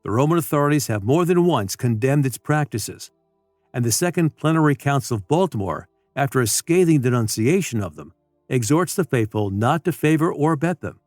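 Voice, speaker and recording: A man's voice: mid-range voice, a little mellow, no room sound, clean studio sound. A man reading a religious apologetics audiobook as scripted narration, with a formal, solemn manner. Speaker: audiobook reader.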